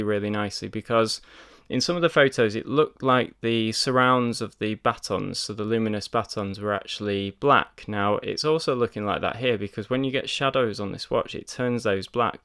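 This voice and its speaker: A man's voice: fairly low pitch, a bit bright, clean tone. A man talking casually through a watch review, chatty and filled with ums and repeated words. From United Kingdom. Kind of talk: casual product review to camera.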